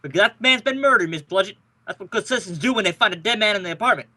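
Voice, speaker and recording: A man's voice: high voice, animated, racing, bright, forceful tone, full character voice. A man playing an exasperated, grumbling police lieutenant, sarcastic and blustery, in an old-time radio detective drama.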